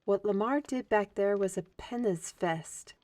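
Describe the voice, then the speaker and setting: A self-assured steward in a fantasy audio drama, acted by a woman. Her voice sits at a middle pitch. She is articulate and earnest, with a pointed edge. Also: measured pace, a little mellow, natural voice.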